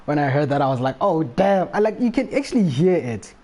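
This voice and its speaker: A man's voice: a little high, sing-song, brisk, a little mellow. A young man commentating casually on a live game stream, slangy, chatty and reactive.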